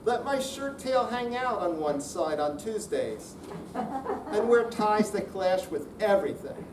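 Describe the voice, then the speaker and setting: A man's voice: sits high, sing-song, takes it slowly. An older man giving a dramatic amateur reading of humorous verse at a live performance. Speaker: amateur readers theater performer. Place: indoor senior center room, live performance. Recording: roomy, distant mic, noisy hall.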